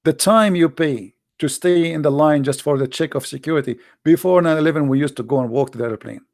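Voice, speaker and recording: A man's voice: fairly high pitch, brisk, vocal fry, darkish tone, dry recording, clean studio sound. A man with an Arabic accent, a native Arabic speaker, hosting an informal, impassioned monologue in a blunt, emphatic manner with repeated phrases and rhetorical questions. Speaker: host, religious polemicist.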